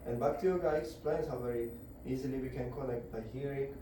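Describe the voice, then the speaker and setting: A man giving a devotional scripture lecture to a group indoors, halting and searching for words as he explains. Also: mid-range voice, background noise. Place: indoor gathering room. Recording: very echoey room, voice far off.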